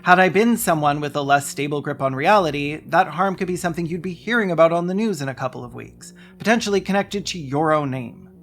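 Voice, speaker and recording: Creaky, mid-pitched narrator reading a script. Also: a little fast, hardly any pauses. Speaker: video essayist, narrator.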